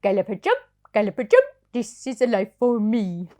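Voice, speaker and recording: A woman's voice: medium pitch, very animated, normal speed, dark tone, lightly acted. A woman voicing a male rocking horse in a storybook, wistful and eager for adventure, speaking in sing-song rhyme. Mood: contented, cheerful.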